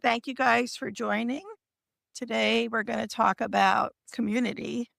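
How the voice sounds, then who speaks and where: Woman host with a scratchy, gravelly voice. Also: on the high side, steady tone, unhurried, fairly bright tone, dry recording, clean studio sound. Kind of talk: casual live chat hosting.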